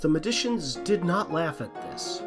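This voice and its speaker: A man's voice: on the high side, sing-song, a little slow, over music. A man reading scripted storybook narration for an audio drama as its narrator.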